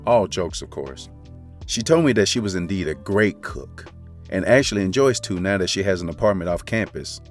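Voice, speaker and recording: A man's voice: low voice, lively intonation, moderate pace. A man narrating a first-person romance story in a casual, confiding, slangy way. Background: faint background music.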